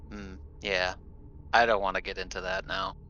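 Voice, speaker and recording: A man's voice: low voice, flat delivery, normal speed, bright, forceful tone, lo-fi sound. A man playing in a tabletop roleplaying game, chatting casually, with faint noise on the recording.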